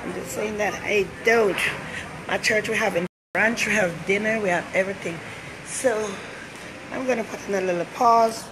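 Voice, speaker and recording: A woman's voice: medium pitch, moderate pace. Rambling Jamaican woman host amid traffic. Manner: rambling, chatty, greets viewers by name. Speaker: talk show host. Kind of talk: casual live-stream chat. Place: busy city station area, in and out of doors. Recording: loud street and traffic noise.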